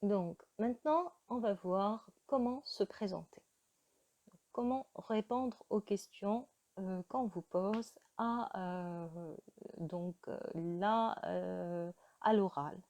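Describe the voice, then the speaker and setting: A woman teaching a beginner French lesson, speaking slowly and carefully with frequent hesitations. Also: mid-range voice, darkish tone. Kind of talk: slow beginner language lesson.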